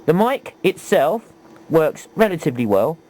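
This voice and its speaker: A man's voice: a little high, sing-song, a little slow, darkish tone, poor audio. A man giving an informal, chatty hands-on tutorial, often asking rhetorical questions. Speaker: video presenter, hobbyist demonstrator. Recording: homemade video with faint background noise.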